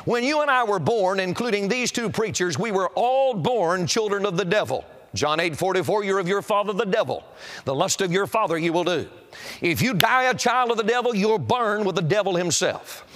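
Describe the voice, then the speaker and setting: A man's voice: sits high, a bit bright. A middle-aged or older man preaching an evangelistic sermon to a congregation, declaiming emphatically with solemn warning. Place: auditorium, preaching to a seated congregation.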